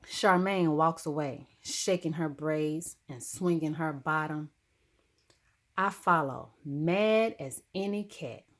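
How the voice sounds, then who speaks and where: A woman narrating a children's story expressively, with dramatic, deliberate phrasing. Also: low voice. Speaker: storybook reader.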